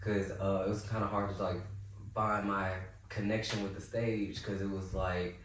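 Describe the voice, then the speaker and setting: A young man with a low, tenor-bass voice talks in a loose, halting way, piling up fillers and restarts. He sounds set back from the mic in a very echoey room. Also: flat delivery, distant mic, slight background noise. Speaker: singer guest. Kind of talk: casual podcast chat.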